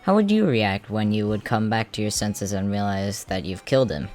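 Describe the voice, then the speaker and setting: A theatrical, expressive voice actor performing lines for an amateur comic dub. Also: low-pitched, normal speed. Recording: music under the voice.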